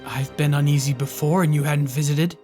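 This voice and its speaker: A woman's voice: low voice, fairly even intonation, brisk, mellow, faint music behind, lightly acted. A woman playing a self-doubting young male hedgehog hero in an audio drama, earnest, dejected and hesitant. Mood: dejected, upset. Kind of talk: fan audio drama acting.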